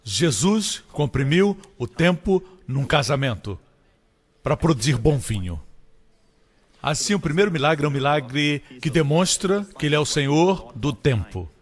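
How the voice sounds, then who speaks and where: A man preaching a sermon with emphatic delivery, climbing high in pitch on key phrases. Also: very animated, unhurried, in a large hall. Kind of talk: Portuguese-dubbed sermon.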